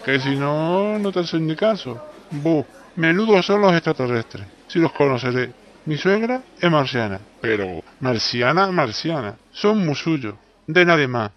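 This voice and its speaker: A boastful, absent-minded travelling salesman in a comic radio play, played by a man. His voice sits a little high, with an accent from Spain. He holds forth in an exclamatory, know-it-all way. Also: fairly bright tone. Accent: Spanish (Spain).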